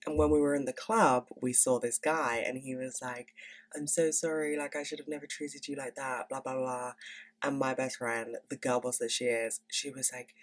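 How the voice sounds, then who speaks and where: A woman's voice: extremely low pitch, fairly even intonation, moderate pace. A young woman in her twenties hosting a podcast in casual, candid chat, swearing freely and sounding stuffy from a cold. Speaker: podcast host.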